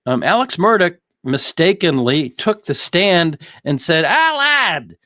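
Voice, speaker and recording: A man's voice: high voice, big pitch swings, slow, sharp tone, dry recording, studio quality. A man hosting conversational legal commentary on a criminal trial, repeating phrases for emphasis. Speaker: host of a legal commentary show.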